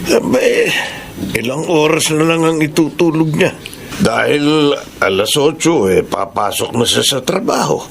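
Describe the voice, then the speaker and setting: Creaky-voiced, earnest Filipino husband. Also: male voice, on the high side, very animated, unhurried, slight background noise, exaggerated voice. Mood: concerned. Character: concerned, admiring husband at home. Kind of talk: Filipino radio drama acting.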